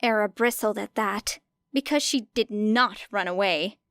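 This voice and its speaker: A woman's voice: mid-range voice, a little slow, a bit bright, no echo. A woman narrating a scripted fiction audiobook.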